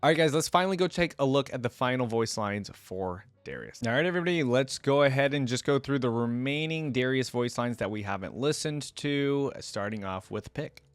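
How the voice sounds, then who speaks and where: A man's voice: mid-range voice, expressive, fairly quick, few pauses, clear, resonant tone. A man casually commentating as the host of a reaction video, chatting informally like a fan with frequent asides.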